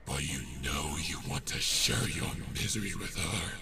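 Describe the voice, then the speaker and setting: A man voicing a cruel, insidious monster of despair with a deep, gravelly voice and a slow, taunting manner in a dramatic fan fiction reading. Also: heavy background noise.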